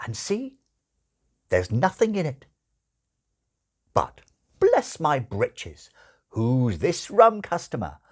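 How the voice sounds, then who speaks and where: A man's voice: on the high side, huge pitch swings, slow, long pauses, voice pushed up, exaggerated voice. A man voicing a genteel, fussy, old-fashioned male angel in an audiobook, with a prim, fluttery, theatrical delivery. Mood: hammy showmanship.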